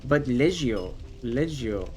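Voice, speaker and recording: Male streamer, French first language, slow casual delivery, excitable outbursts between chatting with viewers. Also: mid-range voice, faint music behind. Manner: bursts of exclamations, swears, chats with viewers. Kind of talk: casual live gameplay commentary.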